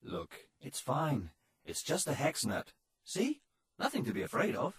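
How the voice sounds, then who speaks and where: Man doing a raspy, giggly male android. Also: fairly high pitch, wildly swooping pitch, unhurried, exaggerated voice. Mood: soothing. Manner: prone to fits of laughter, polite.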